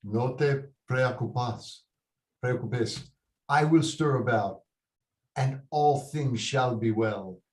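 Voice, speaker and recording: A man's voice: mid-range voice, a little mellow, lightly acted. A man playing a bossy, hot-tempered but doting Mexican patriarch at a table read, exasperated, swearing and slipping into Spanish. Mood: resigned, tender. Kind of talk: table read of a comic Shakespearean-style play. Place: indoor room, on a video call. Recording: roomy echoing video-call audio.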